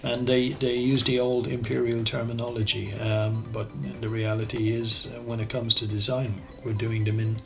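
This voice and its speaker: A man with an Irish accent teaching architectural CAD over a screen recording, relaxed and thinking aloud as he works, often correcting himself. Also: medium pitch, normal speed, gravelly, hardly any pauses, music in the background. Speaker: architectural designer and CAD tutor. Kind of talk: screen-recorded software tutorial.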